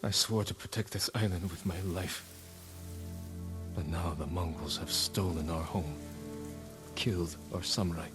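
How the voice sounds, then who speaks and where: An actor playing a grim, duty-bound young samurai in a video game drama, speaking with solemn restraint. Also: male voice, low-pitched, fairly even intonation, a little slow, pauses often, over music. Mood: somber. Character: grim, grief-stricken young samurai, duty-bound, vowing to save his uncle.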